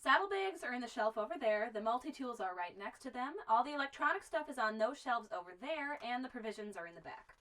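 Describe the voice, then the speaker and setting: A woman's voice: on the high side, very animated, fast, hardly any pauses, a bit bright. An actress playing a friendly, talkative young woman who keeps a remote frontier store, with a folksy manner. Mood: friendly, chatty. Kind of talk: amateur western film acting.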